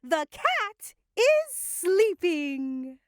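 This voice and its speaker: A woman's voice: very high voice, very animated, drawn-out words, no echo, studio quality. A woman narrating and co-hosting a playful children's reading lesson. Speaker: narrator and co-host.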